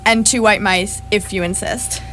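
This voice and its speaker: A woman's voice: mid-range voice, steady tone, moderate pace, pushed and bright, soft background music, natural voice. A woman playing a brash, impatient and flippant space adventurer in charge, curt and casual, in live radio theatre.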